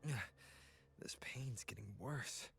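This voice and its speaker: A man voicing a weary, pained wanderer in a video game, muttering in a strained, halting way. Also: medium pitch, gravelly, noisy background. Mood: pained, exhausted. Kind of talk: scripted video game voice acting.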